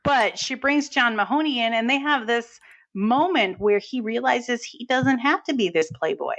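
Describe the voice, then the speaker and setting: A woman with a New England accent and a somewhat high voice chats as a film podcast co-host. She retells scenes in a casual way, slipping into quoted dialogue. The recording is a little dull and lo-fi. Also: lively intonation, moderate pace, fairly bright tone. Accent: New England American. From New England, United States. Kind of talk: casual film review chat.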